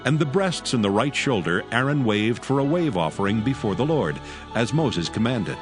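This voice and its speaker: A man narrating scripture from a script in a solemn, measured reading. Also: mid-range voice, big pitch swings. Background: background music.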